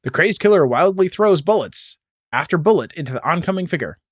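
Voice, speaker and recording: A man's voice: high-pitched, lively intonation, brisk, dry recording, studio quality, pitched above the performer's own voice. A man voicing a dramatic narrator of a pulpy superhero comic, read aloud melodramatically.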